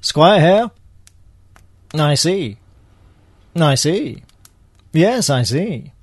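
An actor playing an urbane, wry British spy in a spoof radio drama, with a droll, understated British delivery. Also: male voice, on the high side, very animated, extremely slow, breathy edge, dry recording, clean studio sound, exaggerated voice. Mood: wry, composed. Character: urbane, wry male British spy, unflappable gentleman agent.

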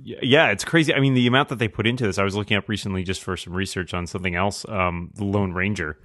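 Offhand man with a lowish voice. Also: fast, fairly bright tone, dry recording, clean studio sound. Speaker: panelist. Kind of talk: casual panel chat.

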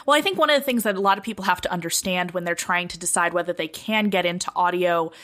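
A woman's voice: lowish voice, quick pace, fairly bright tone. Woman in casual podcast chat. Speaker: podcast panelist.

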